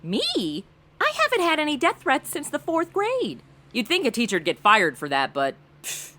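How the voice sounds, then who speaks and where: A woman's voice: high-pitched, wildly swooping pitch, moderate pace. An actress playing a brash, self-absorbed, ditzy young modern woman with sarcastic, slangy delivery in an audio comedy. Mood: indignant, shocked. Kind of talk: comedic audio drama acting.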